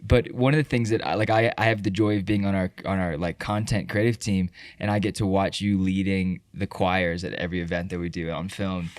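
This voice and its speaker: A man's voice: low-pitched, steady tone, fairly quick, few pauses, dry recording, clean studio sound. A man hosting a casual interview, chatty, laughing often and restarting his sentences. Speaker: interview host. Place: indoor room at a retreat.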